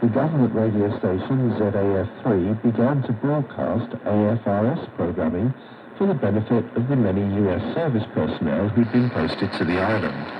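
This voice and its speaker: Lower-voiced male radio narrator, reading a history script, heard through static on a shortwave set. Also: animated, highs cut off. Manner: measured, read-aloud delivery. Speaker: radio program presenter.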